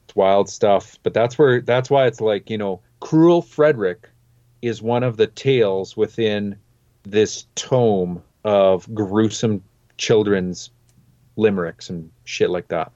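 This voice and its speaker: A man's voice: lowish voice, slow pace, mellow, no room sound. A man co-hosting a podcast, rambling casually with lots of fillers. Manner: loose and rambling, many fillers like "like" and "you know". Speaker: podcast co-host. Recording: dry, dull lo-fi mic.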